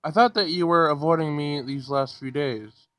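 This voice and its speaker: A man voicing a shy, earnest young man in a visual novel, speaking in a halting, hesitant way. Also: a little high, fairly bright tone, dry recording, natural voice. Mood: serious.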